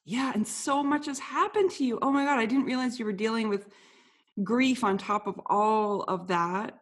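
A woman's voice: a little high, normal speed. Chatty woman podcast host. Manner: friendly, talkative, casual fillers. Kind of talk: casual podcast interview.

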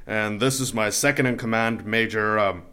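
An actor playing a pompous Italian conman posing as a general in an audio comedy, deliberate and self-important, with an Italian accent. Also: on the low side, sharp tone, clear, resonant tone. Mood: pompous bluffing. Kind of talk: audio drama comedy acting.

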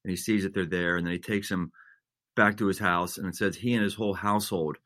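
Pastor guest, male, low and bright tone, dry close sound, preachy build-ups. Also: fairly even intonation, fast talker, no room sound. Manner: builds to emphatic, repetitive preaching cadence. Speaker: senior pastor, guest author. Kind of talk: conversational interview, earnest and preachy.

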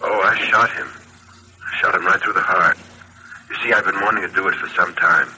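An actor playing a nervous, earnest man who phones the police, husky, in an old radio crime drama. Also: low voice, a little fast, sharp tone, poor audio. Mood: timid, hesitant. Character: nervous, earnest male caller confessing to police. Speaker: telephone caller confessing to a crime. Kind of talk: old-time radio detective drama acting.

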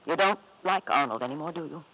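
A woman's voice: deep voice, animated, fast talker. An actress playing a genteel, anxious wife and devoted mother in a 1950s radio drama, refined and hesitant. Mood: worried, defensive. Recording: muffled, noisy 1950s radio broadcast.

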